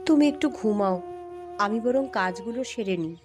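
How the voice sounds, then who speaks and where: A woman voicing a gentle, caring village wife and mother in a cartoon story. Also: mid-range voice, expressive, normal speed, close to an everyday voice. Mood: soothing, tender. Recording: music behind the voice.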